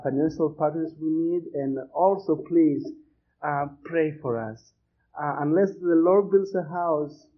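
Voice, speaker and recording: A man with a Greek accent, a missionary giving a hesitant church appeal with many fillers and restarts. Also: a little high. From Greece. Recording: muffled lo-fi recording.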